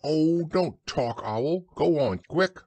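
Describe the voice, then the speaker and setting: A man voicing an eager, kind, excitable young boy in a storybook, with an earnest manner. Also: mid-range voice, unhurried, breathy edge, pitched above the performer's own voice, heavily acted. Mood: urgent.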